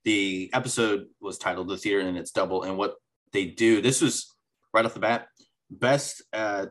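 A man's voice: sits low, a bit bright. A man on a podcast recapping a TV episode in casual chat, rambling with many fillers and restarts. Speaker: podcast co-host.

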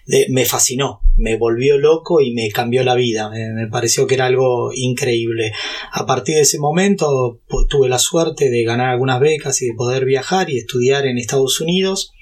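A man in his fifties with an Argentine Spanish accent, Portuguese-speaking from childhood, telling his story at length in a relaxed interview, with many fillers and restarts. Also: mid-range voice, moderate pace. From Brazil. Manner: talkative, frequent fillers and restarts. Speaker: guest, YouTuber and entrepreneur. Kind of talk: casual interview.

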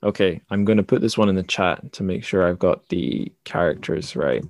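Male podcast host, young adult, notably low pitch, informal conversational delivery, very dry recording. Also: moderate pace, a little mellow, no room sound. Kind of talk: casual interview chat.

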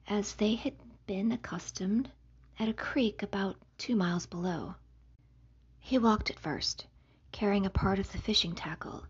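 A woman reading a gothic novel aloud as scripted narration, in a very breathy, whispery voice with measured pauses between phrases. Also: medium pitch, darkish tone, muffled recording. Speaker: audiobook reader, podcast host.

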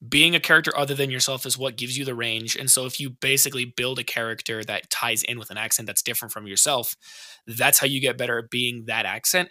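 Podcast co-host, man, very bright tense tone, dry close mic, jokey and profane. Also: mid-range voice, no room sound. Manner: joking, profane, rambling. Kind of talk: casual podcast chat.

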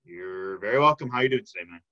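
A man's voice: on the low side, animated, quick pace, lo-fi sound. A man hosting a casual interview, chatting in a friendly, informal way. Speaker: podcast host.

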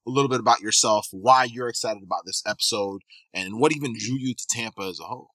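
A man's voice: on the low side, fast, bright, forceful tone, no room sound. A man from Kenya hosting a casual podcast as a physical therapist, talking loosely and eagerly with many restarts.

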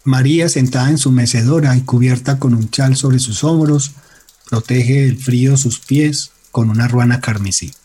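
A man's voice: medium pitch, normal speed, warm tone, slight background noise. A man delivering dramatic scripted narration as the narrator of a radio serial.

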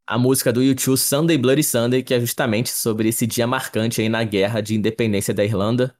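A young man co-hosting a podcast, explaining sports history in a relaxed, chatty way, often adding little tag questions. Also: mid-range voice, brisk. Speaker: podcast co-host. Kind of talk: casual explanatory chat.

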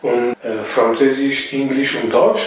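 A man's voice: medium pitch, little pitch movement, a little slow. A man from Togo whose first languages are Ewe and Mina, speaking as an interview guest with frequent fillers and emphatic repetitions. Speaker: interview guest, initiative member. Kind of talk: conversational interview. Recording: far from mic, echoey room, faint noise.

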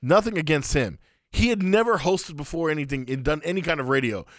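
A man's voice: brisk, no echo. Guy in his 30s telling stories on a podcast, acts out the quotes, real dry close sound. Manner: animated storyteller, acts out quoted people in a higher voice. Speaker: podcast co-host. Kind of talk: casual storytelling chat.

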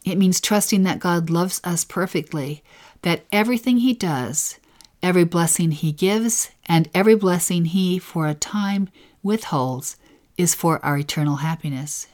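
A woman co-teaching a devotional scripture lesson in a gentle, earnest way, lingering on key phrases. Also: fairly low pitch, moderate pace.

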